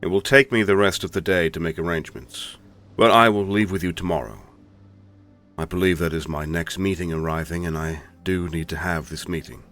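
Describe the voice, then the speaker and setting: A man's voice: low-pitched, steady tone, a little fast, a bit bright, soft background music. A man playing a courteous, commanding werewolf pack leader in a fantasy audio drama, speaking formally. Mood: businesslike. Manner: formal, authoritative. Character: lordly male werewolf alpha, courteous and commanding. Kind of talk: scripted fantasy audio roleplay.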